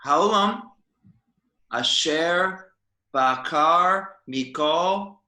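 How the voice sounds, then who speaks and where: A man playing a nervous young teen boy who recites haltingly, at an amateur table read. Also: fairly high pitch, sharp tone, a little hiss or hum, full character voice. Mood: hesitant. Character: nervous young teen boy studying for his bar mitzvah. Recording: echoey room.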